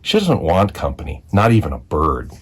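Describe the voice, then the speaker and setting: A man reading a children's picture book aloud as a storyteller, with expressive narration and deliberate dramatic phrasing. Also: a bass voice, a little hiss or hum. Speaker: read-aloud storyteller, school executive.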